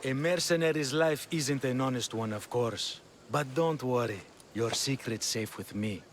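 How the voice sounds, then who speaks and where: A man's voice: mid-range voice, measured pace, slight background noise, close to an everyday voice. Man playing a pompous, wealthy old exile. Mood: smug. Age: older. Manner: pompous, smug, condescending. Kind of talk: video game cutscene acting.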